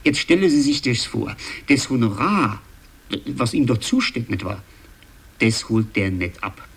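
An actor playing a chatty local man, husky and rambling, recounting gossip conversationally in a 1960s radio drama and seeking the listener's agreement. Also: mid-range voice, lively intonation, a little slow, full character voice. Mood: animated gossip.

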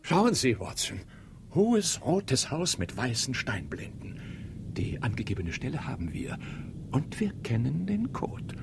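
Theatrical, gravelly detective with sweeping intonation. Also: male voice, medium pitch, huge pitch swings, in a whisper, full character voice. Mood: brisk, commanding. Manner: theatrical, commanding, deliberately explanatory. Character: brisk, analytical male Victorian detective, self-assured and commanding. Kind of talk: German radio drama acting.

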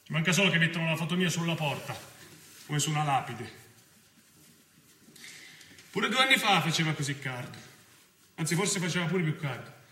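An actor playing a restless, foul-mouthed young fugitive in a theatrical monologue, with a Roman accent and a colloquial manner. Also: male voice, fairly high pitch, normal speed, pauses often. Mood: brooding, fed up. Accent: Roman Italian. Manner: colloquial, swearing, swinging from brooding to excited play-acting. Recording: roomy, distant mic with faint noise.

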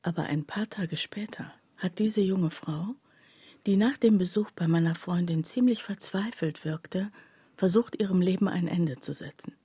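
An actress playing a troubled factory worker, telling her story in a soft, husky, halting and confiding radio drama monologue. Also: fairly low pitch, dry recording. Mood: grave. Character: troubled female factory worker recounting her life, bewildered, plainspoken.

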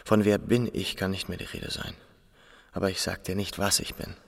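An actor playing a subdued, weary camp inmate, quiet, terse and restrained, in a literary radio drama. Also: male voice, low-pitched, level tone, moderate pace, vocal fry, husky, heavily acted. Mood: subdued defiance.

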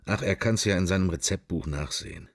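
A man's voice: sits low, fairly quick, vocal fry, dry recording, clean studio sound. An actor playing a middle-aged man musing wryly to himself in clipped, wandering thoughts in a literary radio play. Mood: irritated, distracted.

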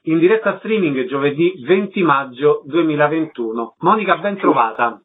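A man's voice: a little high, animated, normal speed, phone-quality sound. A man hosting a radio interview, introducing guests and putting questions in a scripted presenting style.

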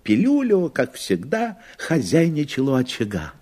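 A man narrating a fairy tale from a script in a husky voice, with an unhurried, expressive storyteller's delivery. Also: on the high side.

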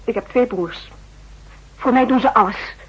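An actress playing an anxious, devoted woman conspirator in a 1960s Dutch radio drama, with a breathy, husky voice. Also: a little high, normal speed, muffled recording. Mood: urgent, anxious.

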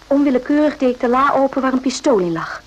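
A woman playing a guarded, correct doctor in a radio play, speaking in a measured, formal way. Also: sits high, lively intonation, muffled recording. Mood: uneasy. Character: uneasy, firm female army doctor, correct and guarded. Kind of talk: radio drama acting with narration. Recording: noisy old radio play recording.

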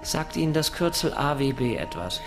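An actor playing a terse, sceptical investigator in a radio crime drama, probing with short questions. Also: male voice, fairly high pitch, big pitch swings, moderate pace. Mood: probing, cool. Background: music behind the voice.

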